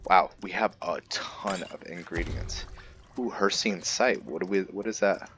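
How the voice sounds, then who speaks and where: A man's voice: sits low, measured pace, a bit bright, soft background music. A man giving casual gameplay commentary, thinking aloud and musing to himself as he goes. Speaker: gameplay video host.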